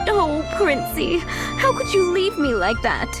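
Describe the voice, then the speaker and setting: A woman playing a fond, affectionate cat owner in a video game, expressive in manner. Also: very high voice, slow, over loud music, full character voice. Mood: relieved, doting.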